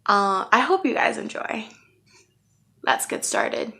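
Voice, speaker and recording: A woman's voice: a little high, huge pitch swings, slow, sharp tone. A woman in her twenties from Puerto Rico, chatting casually and self-mockingly about herself with plenty of ums and likes. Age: 20s. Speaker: vlogger. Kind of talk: casual get-to-know-me chat. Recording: music playing clearly behind the voice.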